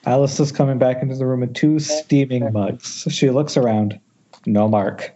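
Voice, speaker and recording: A man's voice: mid-range voice, steady tone, normal speed, warm tone, highs cut off, a little hiss or hum. A man informally reading stage directions at a script table read over an internet call, with wry, jokey ad-libs.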